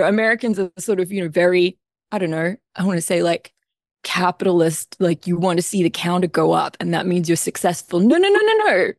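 A middle-aged woman with an Australian accent talks as a podcast guest, animated and emphatic, often breaking into laughter. Her voice sits at an everyday pitch and comes across dry and close. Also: no room sound. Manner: lively, laughing, emphatic explainer. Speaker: guest composer. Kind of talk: casual interview chat.

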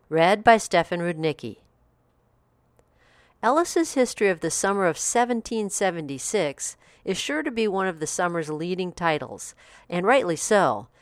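A woman's voice: on the low side, no room sound. A woman hosting a review show, delivering scripted narration. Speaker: host of audiobook review podcast.

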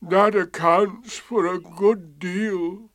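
Mournful, slow old grey donkey. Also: male voice, high-pitched, no room sound, pitched above the performer's own voice. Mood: gloomy, mournful. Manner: slow, drawn-out, mournful delivery.

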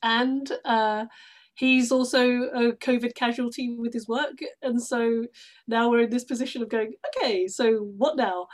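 Female, British accent, middle-aged, higher-pitched voice, effusive conversational interviewee. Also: fairly even intonation, measured pace. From London, England. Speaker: guest, stage actress.

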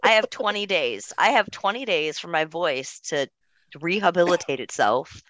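A woman with a low voice that swings widely in pitch, co-hosting a relaxed podcast chat. She is animated, laughs easily and swears casually. The sound is dry and close to the mic. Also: moderate pace, no echo, poor audio. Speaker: podcast co-host.

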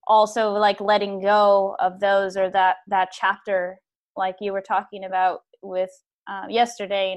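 A woman hosting a podcast, talking in a lively, chatty way and laughing often. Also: mid-range voice. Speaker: podcast host. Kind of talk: casual podcast conversation.

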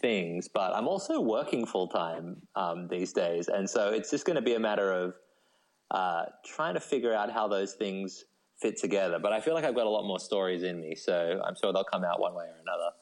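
A man's voice: low-pitched, expressive, moderate pace. A man speaking as a guest novelist in a casual interview, rambling and self-deprecating, with hesitant starts. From Australia. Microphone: phone call.

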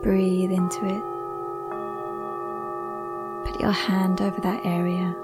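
Female meditation guide: very unhurried, soothing, hushed delivery in short spaced phrases, over prominent singing bowl tones. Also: lowish voice, level tone, loud music behind the voice. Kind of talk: slow guided meditation narration. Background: ringing Tibetan singing bowls.

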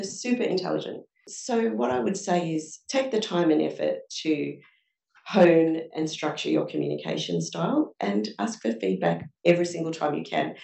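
A woman with a low, gravelly voice gives earnest advice on a panel, working through examples. She is recorded from some distance in a roomy, echoing event space. The sound has a live-event feel. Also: darkish tone. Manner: earnest, advisory, speaks in examples. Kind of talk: panel discussion answer. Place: event room with audience. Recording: echoey room from a distance.

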